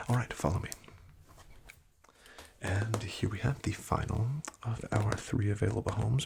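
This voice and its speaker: Low, creaky, whispering ASMR man. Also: noisy background. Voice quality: breathy, often whispered. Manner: friendly, unhurried, gently attentive salesman. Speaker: ASMR roleplayer as real estate agent. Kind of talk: soft-spoken, whispered ASMR roleplay.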